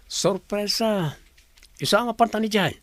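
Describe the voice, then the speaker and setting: A man's voice: sits high, normal speed, a bit bright, no echo. An Ilocano-accented man playing a commanding, proud company boss in a radio drama. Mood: teasing authority. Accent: Filipino (Ilocano).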